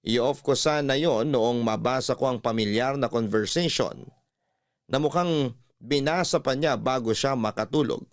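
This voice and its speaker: A man's voice: medium pitch, normal speed, dry recording, clean studio sound. A man narrating a scripted first-person story as a radio drama host. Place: dry studio with music behind.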